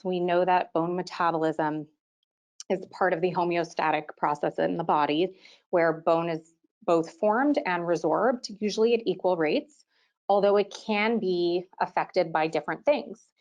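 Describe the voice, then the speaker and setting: A woman in her thirties with a slightly low voice, lecturing to a webinar audience. She talks as an orthodontist in a clear, organized way, often leading into her points with "so". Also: dull audio. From Quebec, Canada. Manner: clear, organized delivery, often opening sentences with "so". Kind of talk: continuing-education webinar lecture.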